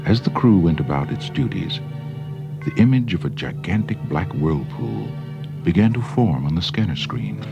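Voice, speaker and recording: A very deep-voiced man narrates a children's read-along storybook. The recording is band-limited and dull, with clear music running underneath. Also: very animated, normal speed.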